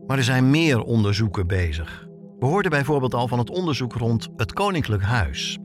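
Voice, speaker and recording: A man's voice: mid-range voice, very animated, normal speed. A man narrates a documentary podcast as its host, in a mid-range voice. He delivers scripted lines like a storyteller, with faint music underneath. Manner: storytelling, with rhetorical questions.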